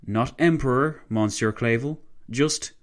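A man's voice: medium pitch, unhurried, pitched above the performer's own voice, lightly acted. Man voicing a proud, imperious French marshal. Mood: cold, commanding. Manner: declamatory, imperious. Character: defiant French marshal, proud, menacing, coldly ambitious man. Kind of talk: dramatised historical narration.

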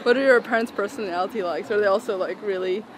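A woman interviewing a guest, asking casual, curious questions with quick reactions. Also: medium pitch, slight background noise, rough recording.

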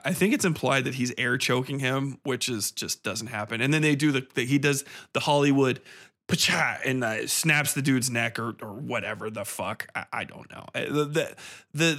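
A man with a bright, forceful voice at a middling pitch hosts a casual review show. He rambles sarcastically and swears freely, hammering some phrases for emphasis. His delivery is irreverent and conversational. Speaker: podcast host reviewing a film. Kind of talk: casual, profane film review monologue.

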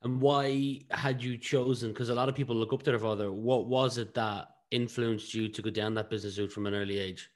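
Irish male podcast host, medium pitch, informal conversational interviewer. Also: steady tone, brisk, hardly any pauses.

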